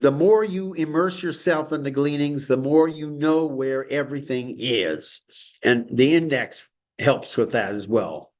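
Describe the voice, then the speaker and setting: A man's voice: mid-range voice, unhurried. Enthusiastic rambling male teacher. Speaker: teacher of a study class. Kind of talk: informal lecture on a call.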